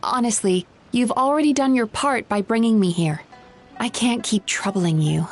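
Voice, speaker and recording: A woman voicing a composed female dream therapist in a game, speaking in a measured, probing, gently firm manner. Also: on the high side. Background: game music.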